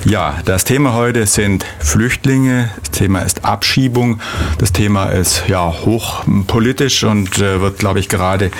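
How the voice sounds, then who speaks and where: A man as laid-back German radio host, low voice. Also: unhurried, slight background noise. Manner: relaxed, hesitant, searching for words. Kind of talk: local radio talk show.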